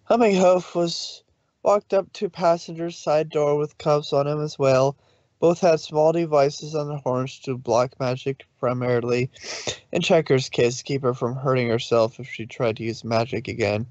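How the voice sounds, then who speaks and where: A man's voice: medium pitch, steady tone, normal speed, darkish tone, no room sound, lo-fi sound. A man narrating a story informally, with a loose delivery in which he sometimes corrects himself. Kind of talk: informal fan fiction reading.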